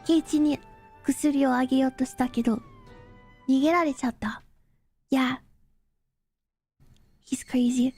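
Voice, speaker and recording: A woman's voice: high voice, a little mellow, no echo. A woman chatting casually as a streamer, with an offhand, amused and giggly manner. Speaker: VTuber streamer. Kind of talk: casual stream chat and recap.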